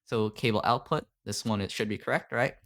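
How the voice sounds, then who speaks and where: A man's voice: lowish voice, steady tone, a little fast, a bit bright. A man mentoring on a call, walking through his audio setup in a loose, filler-heavy way and asking "right?" after nearly every point, speaking into a desktop condenser mic at home. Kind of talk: casual screen-share tutorial on a call. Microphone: desktop USB condenser mic.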